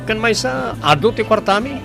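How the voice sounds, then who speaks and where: A man's voice: high-pitched, animated, moderate pace, gravelly, over music. An actor with an Ilocano accent playing a complacent man in a radio drama. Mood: boastful. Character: complacent man living off his child's money.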